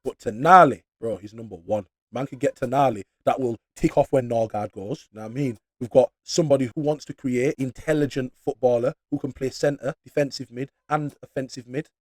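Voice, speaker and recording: A young man from Leeds with a Yorkshire accent, hosting a casual football channel and giving his opinions in slangy, animated, mocking talk. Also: medium pitch, a little fast, few pauses, dry recording. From Leeds, northern England.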